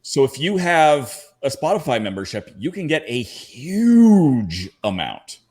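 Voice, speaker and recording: A man's voice: mid-range voice, very animated, unhurried, darkish tone. A man from Canada speaking as a podcast panelist, telling personal anecdotes in a casual, conversational way.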